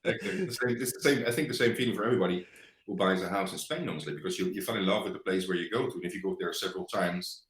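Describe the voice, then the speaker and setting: Deep-voiced Dutch man, halting English. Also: reverberant, rough recording. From Netherlands. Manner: hesitant, searching for words, many fillers. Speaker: guest. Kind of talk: conversational interview.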